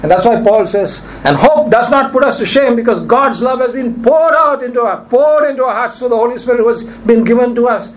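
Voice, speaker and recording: Male pastor, high voice, strained from preaching, emphatic, faint background hiss. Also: lively intonation, brisk, hardly any pauses, poor audio. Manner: emphatic preaching, repeats key phrases. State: nearly lost his voice. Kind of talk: sermon.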